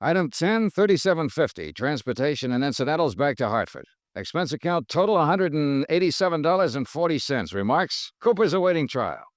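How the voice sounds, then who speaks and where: An American actor playing a hard-boiled, wry male investigator in a 1950s radio noir, terse, deadpan and sardonic. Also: a little high, brisk, few pauses, no echo, clean studio sound.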